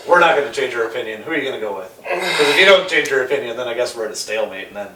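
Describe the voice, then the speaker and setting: A man's voice: mid-range voice, animated, rapid-fire. A man co-hosting a casual group debate, emphatic and sarcastic, swearing freely. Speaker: podcast host debating films. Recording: roomy, distant mic, faint noise.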